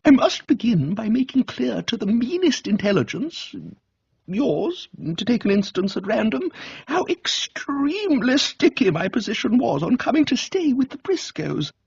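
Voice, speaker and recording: Male reader as excitable middle-aged aunt, English accent, husky high voice, gushing, bossy, comic radio story, slightly dull recording. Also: moderate pace, no echo, heavily acted. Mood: breathless explaining. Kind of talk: comic radio book reading.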